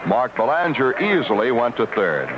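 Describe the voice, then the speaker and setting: A man's voice: medium pitch, very animated, normal speed, lo-fi sound. A man calling baseball play-by-play as a live radio commentator, on a vintage AM broadcast. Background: stadium ambience and loud noise.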